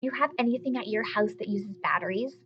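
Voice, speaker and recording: A woman's voice: medium pitch, fast, dull audio. A woman teacher reading aloud a lesson for children, explaining simply and encouragingly with questions to her young listeners. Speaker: elementary school teacher.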